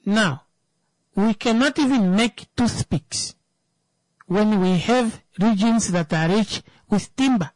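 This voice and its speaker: A woman's voice: medium pitch, slow, a little mellow, no room sound, poor audio. Emphatic woman with dry sound. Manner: emphatic, repeats key words for stress. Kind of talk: passionate opinion talk with storytelling.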